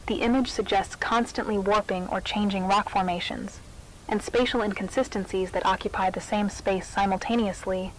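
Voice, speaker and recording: A woman's voice: mid-range voice, fairly even intonation, normal speed, close to an everyday voice. A woman playing a military institute narrator, reading a scripted confidential briefing in a formal, clinical manner. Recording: faintly noisy briefing tape.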